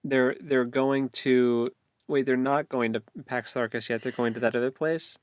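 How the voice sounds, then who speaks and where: A man's voice: mid-range voice, steady tone, moderate pace, clear, resonant tone, no room sound. Man chatting casually, close dry sound. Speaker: co-host of a book read-along show. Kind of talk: casual chat, recapping a fantasy novel.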